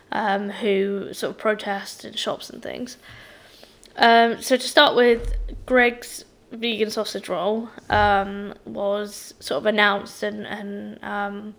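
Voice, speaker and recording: Croaky woman, slightly high. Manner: chatty, with many ums and restarts. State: croaky voice from feeling unwell. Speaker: podcast host. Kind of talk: casual solo podcast monologue.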